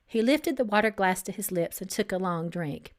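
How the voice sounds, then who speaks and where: Female author-narrator, very lively intonation, dramatic and expressive storytelling from a novel. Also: medium pitch, normal speed. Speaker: author reading own novel.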